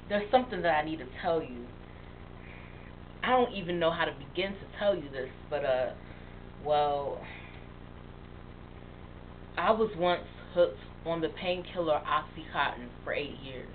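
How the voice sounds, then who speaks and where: Keisha, a woman playing an earnest confessing young woman. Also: lowish voice. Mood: confiding. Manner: earnest, emotional, halting confession. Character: young woman confessing past addiction to friend. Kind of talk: dramatic monologue. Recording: tinny phone-like sound with faint hiss.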